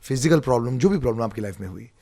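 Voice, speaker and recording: A man with an Indian accent hosting a studio interview, talking in an animated, emphatic, conversational way and switching between languages. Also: mid-range voice, racing. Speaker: radio show host interviewing a guest. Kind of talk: conversational studio interview, mixing Hindi and English. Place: radio studio.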